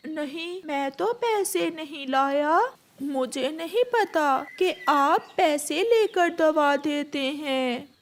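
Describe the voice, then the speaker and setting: A woman's voice: sits high, lively intonation, slow, slight background noise. A woman voicing a poor young boy bird in a children's cartoon, speaking in an earnest, plaintive, childlike way. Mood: pleading. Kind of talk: children's cartoon story dialogue.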